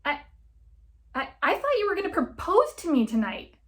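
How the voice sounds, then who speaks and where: A woman's voice: very high voice, huge pitch swings, normal speed, pitched above the performer's own voice, heavily acted. A woman voicing a jilted young woman in an audiobook, indignant and incredulous, with an emphatic, exasperated way of talking. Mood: shocked. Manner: exasperated, emphatic, stammering disbelief.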